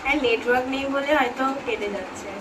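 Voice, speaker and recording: A woman's voice: a little high, fast talker. A young woman chatting casually as a vlogger making a prank phone call. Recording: distant mic, clear vehicle-like background noise.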